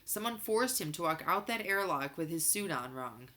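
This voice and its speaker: An insistent, precocious boy from a children's novel, played by a woman reader. The voice is forceful and very bright, and he argues his case stubbornly. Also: on the low side, expressive, moderate pace. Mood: protesting. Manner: insistent, argumentative. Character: young boy Dashiell, precocious, stubborn moon-base kid.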